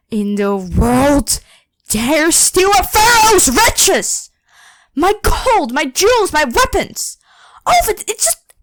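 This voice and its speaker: A woman's voice: high voice, dry recording, heavily acted. A woman playing an evil, haughty ancient Egyptian pharaoh who makes theatrical demands in a scripted ASMR roleplay. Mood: furious. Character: evil, imperious ancient Egyptian pharaoh queen awakened from slumber.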